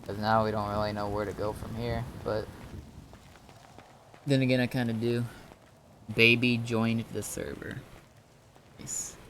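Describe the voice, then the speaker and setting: A man's voice: fairly low pitch, little pitch movement, a little slow, long pauses, background noise. A man giving casual gameplay commentary as a streamer, muttering to himself as he thinks aloud. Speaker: gaming streamer.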